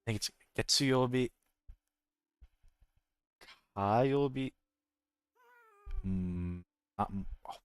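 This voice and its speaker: A man's voice: fairly low pitch, very slow, pauses often, clear, resonant tone, no room sound. A man with an American accent chatting casually as a game streamer, rambling and jokey, often correcting himself mid-thought. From United States. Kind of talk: casual livestream chat.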